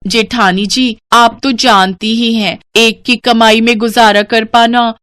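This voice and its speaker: A woman's voice: on the high side, steady tone, slow pace, a bit bright, smooth, dry recording. A woman playing a jealous, greedy sister-in-law, wheedling and crafty, in an audio story.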